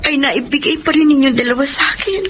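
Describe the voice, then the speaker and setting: A woman's voice: high-pitched, fast talker, over music, close to an everyday voice. An actress with a Filipino accent playing an earnest, emotional young working woman in a radio drama, a repentant and grateful daughter. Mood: repentant, tender.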